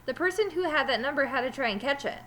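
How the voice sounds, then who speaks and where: Animated, bright-voiced woman reading aloud. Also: high voice, fast, a little hiss or hum. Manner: animated, emphatic storytelling. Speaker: teacher reading aloud to a class. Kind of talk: expressive children's story read-aloud.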